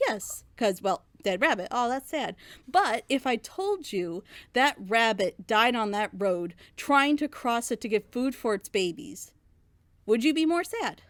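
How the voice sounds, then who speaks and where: A woman's voice: on the high side, expressive, measured pace, fairly bright tone, no echo. A woman reviewer speaking in a casual, opinionated way, with emphatic, rambling explanations and rhetorical questions. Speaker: reviewer on a reaction show.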